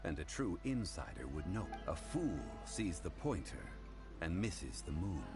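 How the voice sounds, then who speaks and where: A deep-voiced, erudite gentleman from a video game, composed and formal. His philosophical lecturing moves through a wide range of pitch, with music behind. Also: big pitch swings, unhurried. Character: composed, learned gentleman consultant, aphoristic, unworldly about money. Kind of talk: animated video game voice acting.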